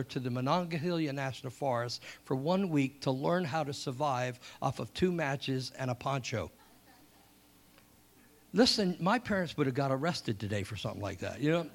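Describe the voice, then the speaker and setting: A middle-aged man from England, preaching a sermon with animated storytelling, repeated points and slow, drawn-out scripture reading. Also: medium pitch, gravelly.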